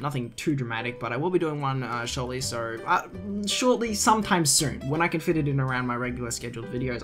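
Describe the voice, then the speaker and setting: A young man from Queensland with an Australian accent, answering viewer questions in a chatty, relaxed gaming vlog full of fillers. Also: mid-range voice. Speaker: gaming YouTuber. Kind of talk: casual Q&A vlog. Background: faint guitar music.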